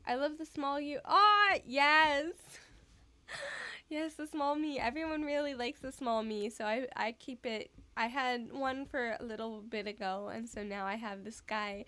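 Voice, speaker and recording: Woman streamer, casual live chat, soft and giggly, rambles, unhurried delivery. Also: high voice, no echo.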